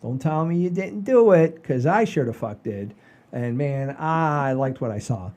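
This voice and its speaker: Mellow, rambling middle-aged male show host. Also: fairly high pitch, a little slow. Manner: rambling, animated storyteller with frequent swearing. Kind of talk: casual chat, storytelling.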